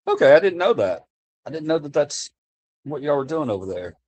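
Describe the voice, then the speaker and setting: A man's voice: a little high, big pitch swings, brisk, darkish tone. American man ranting earnestly to his chat. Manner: earnest, impassioned, repeats himself while speaking off the cuff. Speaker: livestreamer talking to chat. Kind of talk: casual live-stream chat. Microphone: streaming desk mic.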